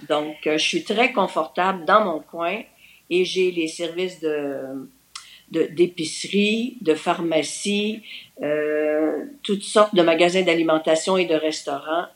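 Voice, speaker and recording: An older woman from New Brunswick with a Québécois French accent, a cheerful and talkative interview guest. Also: fairly low pitch. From New Brunswick, Canada. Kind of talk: casual remote interview.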